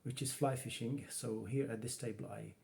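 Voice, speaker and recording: Brief: Czech man speaking English, mellow tone, plain easygoing hobbyist, home workroom, keyboard clicks behind. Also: medium pitch, steady tone, gravelly, breathy edge. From Czech Republic. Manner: unhurried, plain, talks while working the computer. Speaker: retro computing hobbyist. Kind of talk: casual home demo walkthrough.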